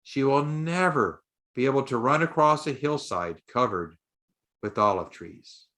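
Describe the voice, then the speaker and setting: A man reads a scripted story as an audiobook narrator. His voice sits at a medium pitch and moves slowly, with deliberate, dramatic emphasis. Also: lively intonation, fairly bright tone.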